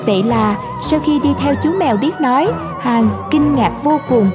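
A woman reading scripted narration for an animated fairy tale. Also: high voice, moderate pace, over music.

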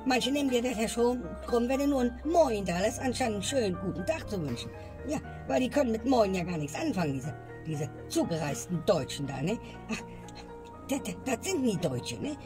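A woman's voice: on the high side, lively intonation, fairly quick. A woman ranting in a comedy sketch and ending her lines with a questioning tag. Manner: grumbling, tags lines with "ne?". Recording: music bed behind voice. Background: music.

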